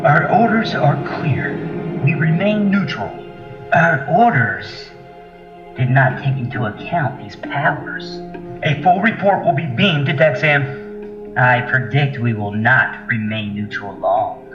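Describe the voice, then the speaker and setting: An actor playing a proud, analytical alien scientist with formal, precise diction in an audio drama. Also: male voice, medium pitch, lively intonation, takes it slowly. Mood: lofty, firm. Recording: dull echoey lo-fi audio, music.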